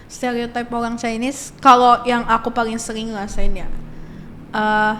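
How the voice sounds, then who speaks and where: A teenage girl chats easily as a podcast guest, with lots of fillers and quick laughs. A faint hiss runs under her voice. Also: fairly high pitch, little pitch movement, sharp tone. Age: teens. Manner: chatty, laughs often, many fillers. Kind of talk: casual podcast chat.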